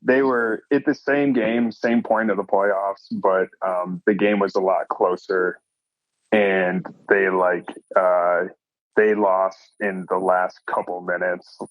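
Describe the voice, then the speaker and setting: A man from Minnesota, a listener guest recounting a sports loss in halting speech filled with "like" and "um". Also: low voice, little pitch movement, no room sound, lo-fi sound. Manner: halting, fills with "like" and "um". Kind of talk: casual podcast storytelling.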